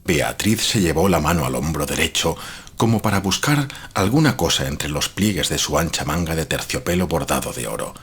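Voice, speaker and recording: A man narrating a gothic horror tale as storyteller, with a grave, deliberate, literary delivery and a husky voice. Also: very deep voice, lively intonation, a bit bright.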